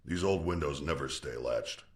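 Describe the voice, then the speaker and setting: An actor playing a businesslike male mortician boss in a video game, giving calm, matter-of-fact instructions. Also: extremely low pitch, normal speed, a little hiss or hum. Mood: curt, businesslike.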